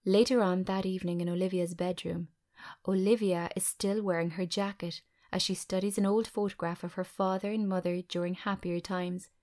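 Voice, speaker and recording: A woman's voice: lowish voice, little pitch movement, normal speed, a little mellow. A woman reading a screenplay aloud as narrator, in a dramatic scripted style.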